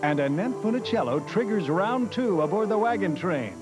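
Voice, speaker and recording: A man's voice: high-pitched, lively intonation, moderate pace. A man announcing a television promo in a dramatic scripted style, on a 1980s broadcast. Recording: 1980s TV broadcast over loud music.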